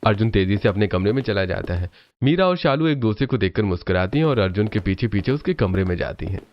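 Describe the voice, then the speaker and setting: A man's voice: on the low side, animated, quick pace. A man narrating a scripted cartoon story. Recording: muffled audio over loud music.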